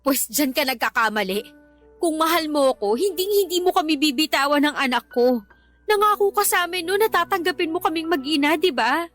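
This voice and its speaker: A woman's voice: high voice, expressive, a little fast, a bit bright, faint music behind. A woman with a Filipino accent acting a defensive, clingy girlfriend and mother in a radio drama, argumentative and pleading. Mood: insistent.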